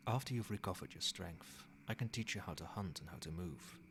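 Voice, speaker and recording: A man playing a centuries-old vampire sire in an ASMR roleplay, a soothing, possessive caretaker who speaks softly in measured, formal phrases. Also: low voice, noisy background. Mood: gentle, instructive.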